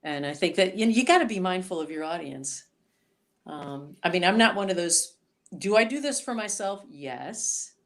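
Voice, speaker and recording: A woman singer-songwriter being interviewed on a podcast, talking in an animated, chatty way. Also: lowish voice, moderate pace. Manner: animated, chatty storyteller, voices quoted reactions.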